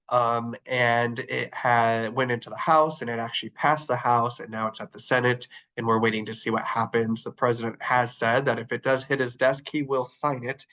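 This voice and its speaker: A man's voice: mid-range voice, a bit bright, low-quality recording. Congested man leading a webinar. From Arizona. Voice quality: nasal. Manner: upbeat, filler-heavy, talking while clicking through screens. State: congested from the flu. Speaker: trainer. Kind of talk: online training webinar.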